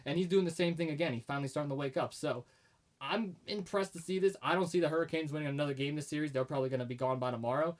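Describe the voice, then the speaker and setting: A man, co-hosting a casual sports podcast, making emphatic, opinionated predictions while starting to lose his voice. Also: a little high. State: losing his voice. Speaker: podcast co-host.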